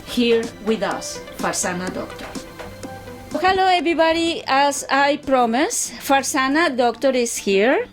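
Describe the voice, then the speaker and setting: A woman's voice: sits high, animated, unhurried, faint music behind. A woman hosting a talk show, reading an introduction and asking simple, direct questions, sometimes stumbling over her words.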